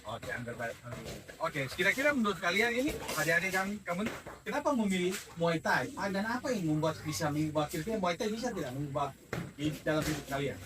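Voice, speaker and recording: A man's voice: on the high side, very animated, quick pace, recorded from a distance. A man interviewing casually, affirming answers in an encouraging way.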